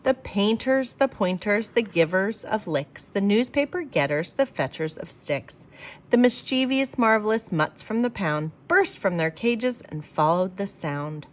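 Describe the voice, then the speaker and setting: A woman reading rhyming verse aloud for children in an expressive, theatrical storytelling way, leaning on the rhymes. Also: mid-range voice, a little slow.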